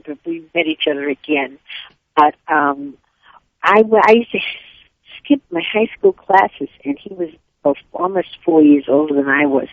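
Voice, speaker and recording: A woman's voice: lowish voice, vocal fry, no room sound. An older woman, a Yiddish-speaking author, chatting upbeat and rambling as an interview guest. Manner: upbeat, rambling, quick tangents. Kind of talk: casual interview chat. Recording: tinny phone line.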